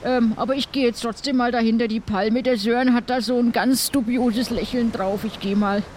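A man playing a fussy, soft pirate in an improvised audio adventure, chatty and rambling in a whiny way. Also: very high-pitched, fairly even intonation, moderate pace. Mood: complaining. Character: grumbling, soft pirate Kalle, fussy about catching colds. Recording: noisy background ambience.

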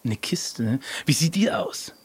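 A man with a husky, creaky voice playing along casually in an improvised pirate adventure. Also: fairly high pitch, very animated, a little slow. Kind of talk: casual improvised role-play.

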